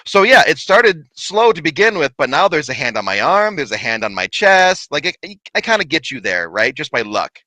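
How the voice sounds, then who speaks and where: A man telling a lively, laughing story from his paranormal investigations in casual chat. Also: a little high, normal speed, hardly any pauses, no room sound, rough recording. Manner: animated, laughing, big voice.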